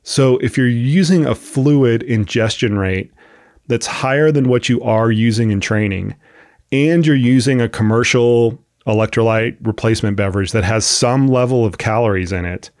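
A man's voice: mid-range voice, normal speed, a little mellow, no echo, studio quality. A man hosting a podcast with a cold and a sniffle, explaining at length in a casual, thinking-aloud way with frequent restarts. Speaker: podcast host, coach. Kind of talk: casual expert discussion.

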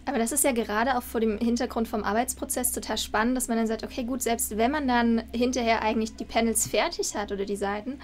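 A woman's voice: on the high side, fast, few pauses, darkish tone, a little hiss or hum. A woman in her thirties interviewing conversationally over a video call from an indoor room. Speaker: interviewer, academic host. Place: book-lined room, indoors.

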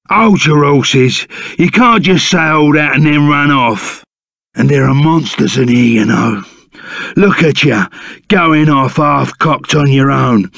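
A man's voice: a little high, creaky, fairly bright tone, dry recording, studio quality, exaggerated voice. A man voicing a burly, blustering but loyal bandit sidekick in a video game, with a Cockney accent and blunt, slangy manner. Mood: indignant, defiant. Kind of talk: animated video game character acting.

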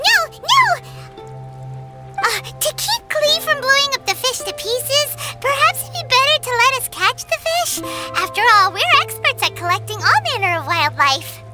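A woman's voice: very high-pitched, sing-song, a little slow, full character voice. A woman voicing a tiny, perky, chatty fairy companion in a video game. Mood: playful, busy. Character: tiny chirpy floating fairy companion, childlike and bossy. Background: loud game music.

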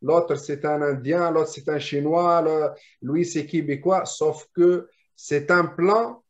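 A man's voice: on the high side, takes it slowly. A man whose first language is Arabic, a draftsman guest explaining his work on a video call with many restarts and repeated words. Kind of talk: casual video call discussion. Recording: muffled lo-fi call audio.

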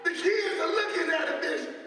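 A man's voice: extremely high pitch, a little fast, pushed and bright. A middle-aged man playing a weary, exasperated bishop in a stage play, emphatic and repeating his phrases like a preacher. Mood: agitated. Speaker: stage actor. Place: indoor stage set in a theater. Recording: echoey theater hall, distant microphone.

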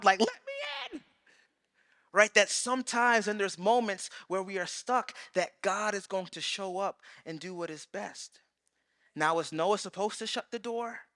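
Animated high-voiced pastor on stage. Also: male voice, no room sound, clean studio sound. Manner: animated, acts out quoted voices, swings loud and soft. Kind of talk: sermon. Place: large church stage.

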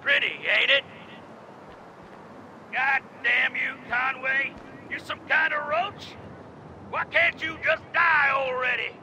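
An actor playing a vicious, taunting gang villain in a video game, strained and sneering. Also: male voice, very high-pitched, steady tone, slow pace, long pauses, sharp tone, slight background noise, heavily acted, low-quality recording. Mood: taunting menace. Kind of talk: video game cutscene acting.

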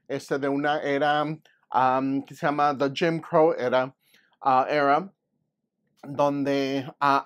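A man in his thirties, a native Spanish speaker, introducing a children's book as host in a halting, explanatory way. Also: mid-range voice. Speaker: host, art teacher reading aloud. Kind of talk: bilingual introduction and storybook read-aloud for children. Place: indoor room at a table with a poster-covered wall.

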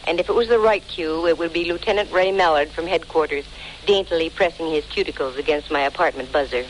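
A woman's voice: low-pitched, brisk, lightly acted. Casting: actress for breezy, dry-witted American female gumshoe, ex-model, narrating 1940s radio crime drama; hissy, noisy broadcast sound. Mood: dry, wry. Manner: dry, wry, sardonic delivery. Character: wisecracking female private eye, former model, breezy and dry.

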